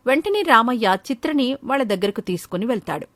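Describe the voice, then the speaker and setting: A woman's voice: on the high side, expressive, fairly quick, fairly bright tone, dry recording. A woman storyteller reading scripted narration for a children's moral story.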